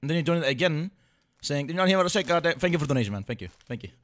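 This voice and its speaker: An excitable streamer reacting to videos and reading out donations, often laughing and repeating words. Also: mid-range voice, rapid-fire. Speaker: streamer reacting to fan dubs. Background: game video audio and music.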